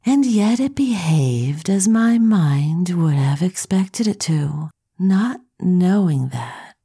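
An actress playing a cool, intelligent heroine with a husky voice in an audio drama. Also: female voice, lowish voice, lively intonation, takes it slowly, dark tone, full character voice. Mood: cool, measured.

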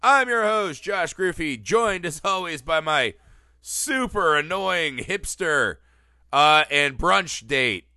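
A man hosting a casual film podcast, animated and teasing, a little sniffly from a cold. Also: a little high, takes it slowly, no room sound, studio quality. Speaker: podcast host. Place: Los Angeles area.

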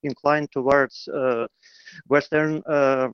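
A man's voice: medium pitch, level tone, slow, clear, resonant tone, no echo, lo-fi sound. A man from Ukraine calling in to a radio talk show, speaking hesitantly with many fillers and restarts over an internet call line. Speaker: caller.

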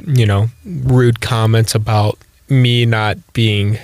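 A man's voice: lowish voice, fairly even intonation, slow, darkish tone, dry recording. A young man with a cold co-hosting a casual sports podcast, rambling easily with lots of fillers. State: has a cold, sniffly. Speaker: podcast co-host.

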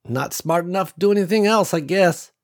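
A warm, wise old woman who has worked her land for decades, as a male narrator puts her on. She talks in an unhurried, folksy way, full of endearments, in a voice lifted somewhat above his own. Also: sits high, voice pushed up. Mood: gentle. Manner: gentle folksy drawl with endearments.

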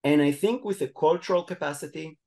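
A man's voice: a little high, fairly quick, creaky, a little mellow. A man with an Israeli accent, a guest expert in a podcast interview, explaining at length with many fillers and restarts. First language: Hebrew.

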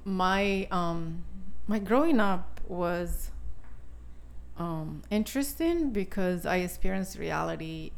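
An Italian-accented woman in her sixties with a gravelly, fry-laced voice that sits on the low side. She talks as a podcast guest, halting and restarting often, filling pauses as she goes. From Italy. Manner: halting, with many fillers and restarts. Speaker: podcast guest, spiritual teacher. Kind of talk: casual conversation.

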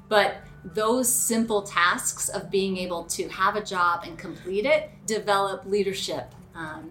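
A woman's voice: medium pitch, pushed and bright. A woman speaking as an educator, giving a spontaneous, explanatory interview answer as she thinks aloud. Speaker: educator giving advice to parents. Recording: clear room noise and ambience.

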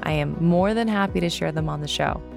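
A woman hosting a solo podcast episode, earnest and chatty, her voice raspy today. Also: sits low, brisk, over music. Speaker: podcast host.

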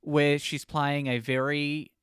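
A man's voice: a little high, little pitch movement, takes it slowly, fairly bright tone, smooth, no room sound, studio quality. A man co-hosting a casual chat show, giving his reviews of films and series. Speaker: podcast co-host reviewing films and shows.

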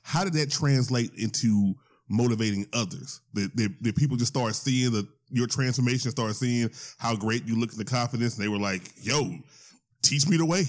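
A man hosting a casual interview, halting and repeating phrases as he works toward his questions. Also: medium pitch. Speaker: interviewer, host.

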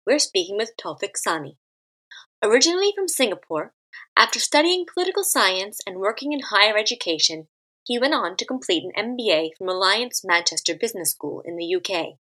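A woman hosts a podcast, voice at a middling pitch. She reads a prepared introduction and then puts polite, measured questions to her guest. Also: sharp tone. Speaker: podcast host. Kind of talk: scripted intro and interview questions.

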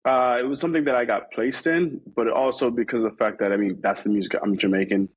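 A man's voice: mid-range voice, steady tone, fast, husky, rough recording. A man from New York talking casually in an interview, rambling with many fillers and restarts. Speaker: interview guest.

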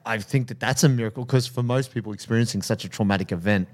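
A man hosting a podcast, warmly effusive in introducing and reacting to his guest. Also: on the low side, fast talker. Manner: effusive and enthusiastic. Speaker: podcast host. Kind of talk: casual podcast interview.

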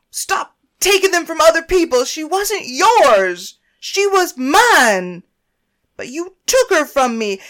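A woman's voice: very high-pitched, pushed and bright, voice pushed up, heavily acted. A woman reading a story voices a grieving, furious woman who screams accusations, shrieking and near tears.

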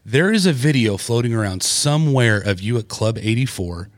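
A man hosting a casual podcast, enthusiastic and quick to cut in with praise. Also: medium pitch, expressive, dry recording, studio quality. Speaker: podcast host.

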